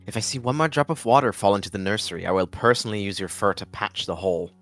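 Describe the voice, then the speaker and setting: A man's voice: lowish voice, animated, fast, a bit bright, soft background music, pitched above the performer's own voice. Man putting on a stern, commanding tomcat deputy. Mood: stern, threatening. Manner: stern, clipped orders, threatening. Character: cold, commanding tom cat deputy of a wild clan.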